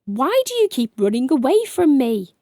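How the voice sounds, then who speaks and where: A woman voicing a big, gentle, shy male troll-ogre in a children's storybook, friendly and plaintive. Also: high voice, sing-song, moderate pace, no echo, studio quality, voice pushed up. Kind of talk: children's storybook character reading.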